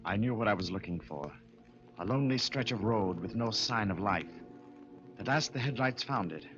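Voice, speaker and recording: A man's voice: fairly low pitch, animated, moderate pace, close to an everyday voice. An actor playing a nervous, guilty murderer telling his story in a radio drama, terse and hardboiled, with an American accent. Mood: grim narration. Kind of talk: 1940s radio acting, dramatic narration. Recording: muffled old radio, loud music behind.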